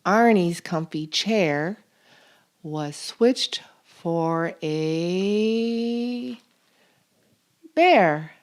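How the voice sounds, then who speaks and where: A woman reading a children's picture book aloud as a storytime host, expressive and deliberate, drawing out key words. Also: fairly low pitch. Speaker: storytime reader and channel host.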